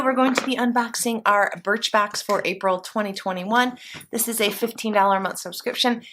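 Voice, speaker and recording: A woman's voice: on the high side, a bit bright, a little hiss or hum. A woman vlogger chatting casually while unboxing a beauty box, a little congested, rambling with fillers. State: congested from allergies. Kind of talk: casual unboxing chat to camera.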